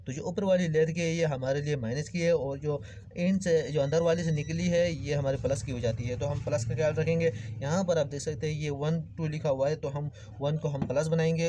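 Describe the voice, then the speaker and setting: A man giving an informal hands-on repair tutorial, explaining things step by step and addressing viewers as friends. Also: a little high. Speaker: electrical repair tutorial host. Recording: clearly noisy background with clicks.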